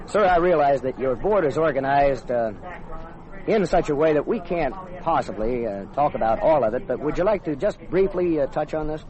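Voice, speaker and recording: A man's voice: a little high, expressive, moderate pace, crowd noise. An American man conducting a polite, formal radio interview as a military reporter, with deferential manners. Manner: courteous, deferential. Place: on location at an army base. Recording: slightly dull lo-fi field radio recording.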